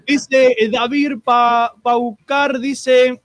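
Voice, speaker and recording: A man's voice: extremely high pitch, level tone, very slow, no echo. A man with an Argentine accent hosting a streamed radio show, chatting and greeting listeners with many restarts and fillers.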